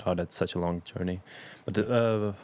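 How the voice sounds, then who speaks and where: A man's voice: deep voice, steady tone, moderate pace, darkish tone. A man hosting a podcast, easygoing, laughing often and asking short questions. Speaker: podcast host. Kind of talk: casual interview.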